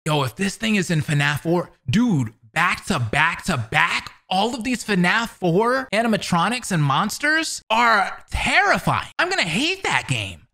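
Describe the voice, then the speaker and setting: A young man in his twenties reacts casually and excitably, close on a studio mic. His voice is bright and jumps around a lot in pitch. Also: a little slow, vocal fry, few pauses, slight background noise. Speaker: reaction video commentator. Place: small indoor room, gaming chair. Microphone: studio mic on boom arm, close.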